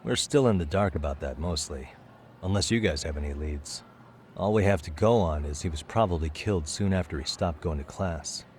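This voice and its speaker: A very low male voice from an actor with an American accent, playing a calm, probing detective in a game cutscene. He talks casually and keeps things understated. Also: a little fast, slight background noise, natural voice. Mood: calm, guarded. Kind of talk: video game cutscene acting, naturalistic.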